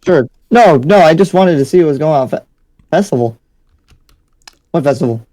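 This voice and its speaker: A man's voice: on the high side, lively intonation, normal speed, dark tone, natural voice. A man playing an easygoing, curious demon slayer in an improvised anime game roleplay, casual and hesitant. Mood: sheepish. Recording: music behind.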